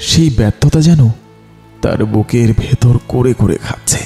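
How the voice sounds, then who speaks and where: A man giving solemn, measured, scripted narration of a horror story. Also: mid-range voice, warm tone, soft background music.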